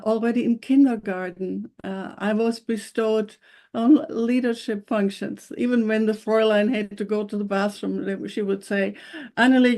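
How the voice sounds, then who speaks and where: An older woman from Germany speaks with a German accent. Her voice is warm and mellow at a middle pitch. She tells personal stories in a reflective, conversational way. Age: 60s or older. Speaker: interview guest, academy founder.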